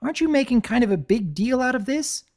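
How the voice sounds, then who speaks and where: A woman's voice: on the high side, lively intonation, quick pace, darkish tone, pitched above the performer's own voice. Woman voicing a whiny baby dragon boy. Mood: defiant. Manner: stammering, pleading.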